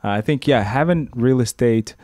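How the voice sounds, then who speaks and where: A man's voice: mid-range voice, normal speed, no room sound, clean studio sound. Easygoing man on a podcast mic. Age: 30s. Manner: relaxed, easygoing, lots of agreeing. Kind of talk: casual podcast chat. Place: small studio with brick wall. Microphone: boom mic on arm.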